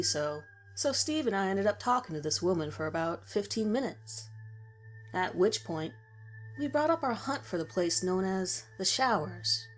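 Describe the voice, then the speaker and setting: A woman reading a scripted horror story in the first person as its narrator. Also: on the low side. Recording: music behind the voice. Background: background music.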